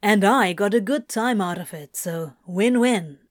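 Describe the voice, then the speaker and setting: Cynical male demon, performed by a woman, sharp, incredulous storybook delivery, dry sound. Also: mid-range voice, a little slow, no room sound, close to an everyday voice. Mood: wry, probing. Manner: pointed, sardonic.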